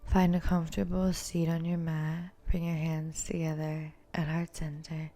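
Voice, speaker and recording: A woman yoga instructor giving calm, soothing, gentle cues as she guides a movement and meditation practice. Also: deep voice, a little slow.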